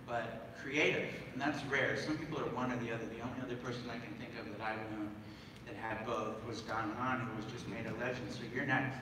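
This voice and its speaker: A man speaking as a panel guest, giving an unscripted tribute in a measured, sincere way. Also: mid-range voice, steady tone. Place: large convention hall stage, audience recording from afar. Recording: very echoey distant hall, loud crowd noise.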